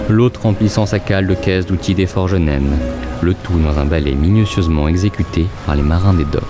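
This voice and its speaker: A man narrating a scripted audio drama in a measured, dramatic storytelling manner. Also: rumbling low. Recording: loud music bed behind the voice.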